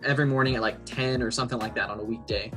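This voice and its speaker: A man's voice: medium pitch, steady tone, racing, sharp tone, soft background music. A young American man, a student, explaining things casually in an interview. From Colorado, United States. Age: young adult.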